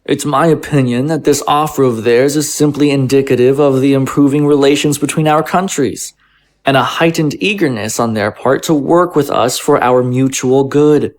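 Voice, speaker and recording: A man's voice: mid-range voice, normal speed, natural voice. A man playing a conciliatory government official in an audio drama, arguing in a formal, articulate, persuasive manner. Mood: reassuring, pointed. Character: smooth-talking, conciliatory male government official, argumentative.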